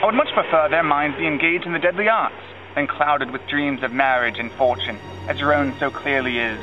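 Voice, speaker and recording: A man reading an audiobook and voicing a dry, sarcastic older country gentleman with a stern, sardonic manner. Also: a little high, brisk, natural voice. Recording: lo-fi with music behind.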